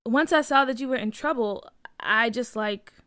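A woman's voice: fairly high pitch, moderate pace, sharp tone, smooth, no echo, studio quality. A woman voicing a cocky boy ringleader in a children's story, slangy and swaggering. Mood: gruffly sincere. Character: cocky teasing boy ringleader with a hidden heart. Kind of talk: acted children's story dialogue.

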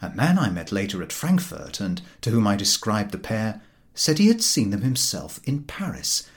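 A man reading a humorous literary story aloud in an expressive, dryly droll way. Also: on the low side. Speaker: audiobook reader.

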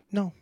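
A woman's voice: dark tone, no room sound, muffled recording. A young woman co-hosting a podcast, blunt and crude, teasing her co-host in casual chat.

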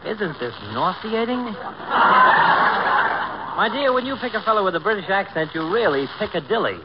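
Blustery, dry-witted American physician, swooping delivery, muffled 1940s radio comedy broadcast. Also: male voice, high-pitched, animated, moderate pace, very noisy. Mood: sardonic. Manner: dry, grandiose insults.

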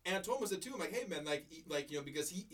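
A man co-hosting a podcast, telling stories in loose casual chat. He rambles and stumbles over his words. His voice sits a little high for a man and sounds a bit far from the mic. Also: animated, rapid-fire, a bit bright. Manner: rambling, many restarts and stammered repeats. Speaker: podcast co-host.

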